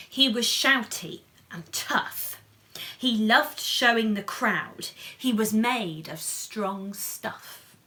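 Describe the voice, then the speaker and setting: A woman reading a picture book to children in an animated, playful way, with chatty asides and questions to her listeners. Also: mid-range voice. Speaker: children's storybook reader.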